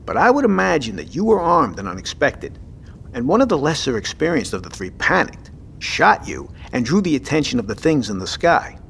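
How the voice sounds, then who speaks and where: Man acting lively, commanding navy captain. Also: mid-range voice, a little fast, creaky, a little hiss or hum. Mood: calm, firm. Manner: commanding, profane, clipped. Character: gruff, decisive navy submarine captain in command. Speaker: actor in an audio drama. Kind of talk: scripted audio drama acting.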